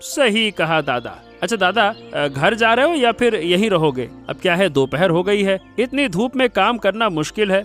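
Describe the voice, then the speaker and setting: A man's voice: sits high, sing-song, normal speed, few pauses, a bit bright. A man playing a poor, curious younger village neighbour in a Hindi cartoon story, talking in a chatty, rustic way. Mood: questioning. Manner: folksy, rustic, chatty delivery. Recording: music playing behind the voice.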